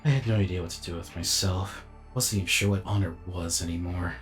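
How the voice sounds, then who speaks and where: A man playing a weary, reflective alien robot warrior in a dramatic inner monologue, speaking deliberately and formally. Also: low voice, steady tone, a bit bright, faint music behind. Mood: regretful, conflicted.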